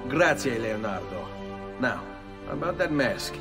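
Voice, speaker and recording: Animated young Italian assassin nobleman. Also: medium pitch, a little slow. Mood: direct. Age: young adult. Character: earnest young Italian nobleman turned assassin, determined, proud. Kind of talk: video game cutscene acting. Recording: long reverb, clear music behind.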